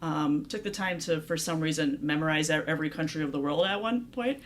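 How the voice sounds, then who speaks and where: Low-voiced upbeat young woman host. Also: fairly quick, a little hiss or hum. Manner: upbeat, encouraging, fillers like "okay" and "yeah". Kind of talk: casual interview chat.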